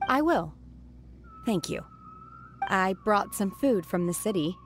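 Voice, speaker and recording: A woman's voice: mid-range voice, very animated, takes it slowly, slight background noise. An actress playing an aloof, socially naive young hermit woman in a video game, terse and plain-spoken. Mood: calm, matter-of-fact. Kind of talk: video game cutscene acting.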